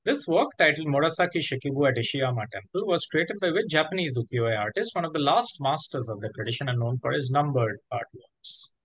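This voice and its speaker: A man works as quiz master, reading questions and judging answers. His pitch moves in a lively way, his voice is a little husky, and the sound is dry and close. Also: a little fast, few pauses, no echo, poor audio.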